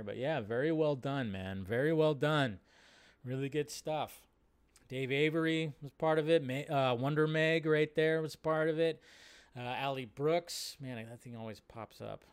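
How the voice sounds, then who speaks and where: A man's voice: medium pitch, unhurried, clear, resonant tone. A man hosting a livestream, giving casual, enthusiastic and exclamatory reaction commentary in an informal way. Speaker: livestream host.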